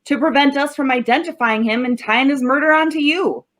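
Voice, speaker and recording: A woman acts out a stubborn homicide cop in a 1940s radio play. The voice sits fairly high for all its tough, slangy bluster. The delivery is sardonic and unimpressed. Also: fairly quick, fairly bright tone.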